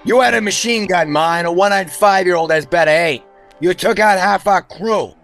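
A man's voice: sits high, moderate pace, fairly bright tone, soft background music. An actor playing a brash, showboating male mob boss in an old-time radio play, taunting and barking orders. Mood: exasperated.

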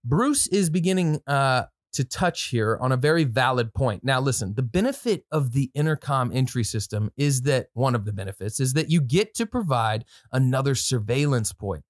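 A man's voice: medium pitch, animated, moderate pace, hardly any pauses, no room sound, clean studio sound. A man hosting a podcast, giving casual product commentary with informal, slangy asides and some reading from notes. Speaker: podcast host.

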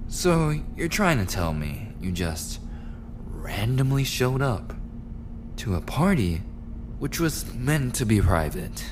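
A man playing a menacing, mocking young mafia prince in an immersive audio roleplay, taunting with drawn-out words and false sweetness. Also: mid-range voice, sing-song, noisy background. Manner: taunting, slow, drawn-out delivery with pet names.

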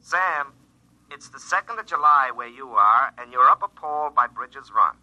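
An actor playing a scheming, insistent future self in a classic radio drama, explaining emphatically through a telephone filter. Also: male voice, medium pitch, animated, normal speed, pushed and bright. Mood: persuasive. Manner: emphatic, explanatory, repeating himself. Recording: tinny, band-limited telephone line.

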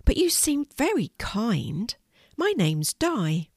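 A grandmother doing a squeaky timid little mouse. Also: very animated, takes it slowly, no room sound, studio quality, full character voice. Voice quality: soft, squeaky. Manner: stammering, nervous.

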